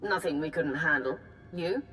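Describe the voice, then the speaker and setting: An actress playing a confident, wry warrior leader in a sci-fi game, with a dry, commanding delivery. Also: female voice, sits low, moderate pace, bright, forceful tone, faint music behind. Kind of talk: video game voice acting.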